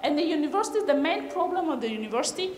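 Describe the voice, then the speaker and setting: A woman's voice: high-pitched, big pitch swings, rapid-fire. A middle-aged woman from Kyrgyzstan giving a conference talk, hesitant with many fillers and non-native phrasing, recorded in a large lecture hall. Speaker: conference speaker. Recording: echoey hall with reverb, faint noise.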